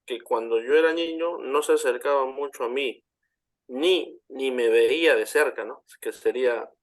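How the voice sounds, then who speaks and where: A man's voice: medium pitch, moderate pace. A male student politely proposing corrections to a paragraph in class, addressing the teacher respectfully and hedging his suggestions. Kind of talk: classroom discussion.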